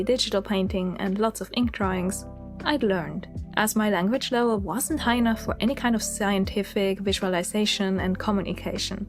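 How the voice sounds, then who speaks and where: Swiss German woman in her thirties, chatty and candid voice-over, mid-pitched, soft music underneath. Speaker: illustrator and channel host. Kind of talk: reflective voice-over narration.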